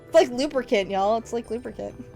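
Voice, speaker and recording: A woman's voice: on the high side, a little fast, creaky, mellow, faint music behind. A woman livestreamer chatting casually with her viewers, teasing them playfully and laughing often. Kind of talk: casual stream chat with viewers.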